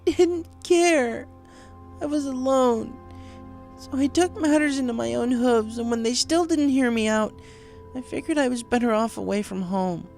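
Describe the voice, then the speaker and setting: A man voicing a hurt, defiant young female pegasus pony in a storybook reading, gravelly and bitterly confiding. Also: very high-pitched, normal speed, a little mellow, faint music behind, voice pushed up. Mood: anguished. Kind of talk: acted storybook dialogue.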